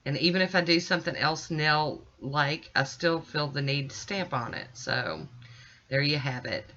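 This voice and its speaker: Casting: American woman, middle-aged, bright forward voice, informal folksy tutorial narration, slightly muffled home recording. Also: deep voice, normal speed. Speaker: nail art vlogger. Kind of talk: casual tutorial voice-over.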